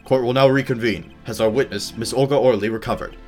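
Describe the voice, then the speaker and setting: A man's voice: medium pitch, steady tone, fast, a little mellow, soft background music, lightly acted. A man voicing a stern, formal courtroom judge in a video game, speaking with authority. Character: stern, formal male courtroom judge presiding over trial. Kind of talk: video game dialogue read aloud.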